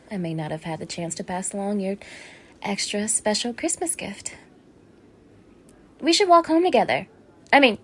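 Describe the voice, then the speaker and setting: A woman's voice: medium pitch, husky. A woman acting in an intimate audio roleplay as a teasing, fake-friendly young neighbour with obsessive menace under her casual, coaxing talk. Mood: coaxing, fussy. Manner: casual, coaxing, teasing, with small laughs and hushed asides.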